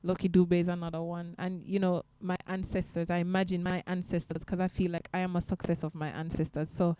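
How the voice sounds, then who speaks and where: A woman who sings, talking in a casual interview in a soft, reflective and earnest way. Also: lowish voice, little pitch movement, a little fast, clear, resonant tone, dry recording. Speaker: guest singer.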